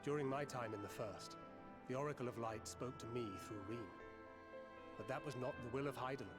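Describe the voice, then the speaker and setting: A man's voice: mid-range voice, a little fast, loud music behind the voice, close to an everyday voice. A man voice-acting an earnest, serious hero in a fantasy video game, speaking formally. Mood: earnest, explaining.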